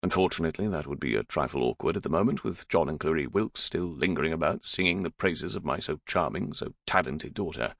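A man's voice: rumbling low, animated, a little fast, creaky, few pauses, fairly bright tone, no room sound, heavily acted. A man playing a stern but fond middle-aged father in an audiobook, scolding in a dry, weary way. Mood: exasperated, fond.

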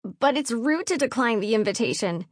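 A woman's voice: a little high, expressive, moderate pace, no echo, clean studio sound. A woman voicing a baron's distraught teenage daughter, emotional and protesting, in an audiobook. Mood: defensive.

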